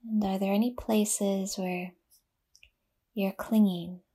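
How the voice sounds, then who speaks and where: A woman leading a guided yoga nidra meditation in a soft, breathy half-whisper, gently and with long pauses. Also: mid-range voice, fairly even intonation.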